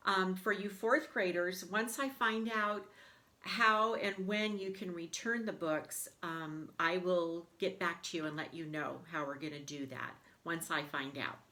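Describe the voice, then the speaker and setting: A woman's voice: on the low side, a little slow, bright, forceful tone. A woman school librarian doing a children's storybook read-aloud, talking to pupils in a reassuring, explanatory way.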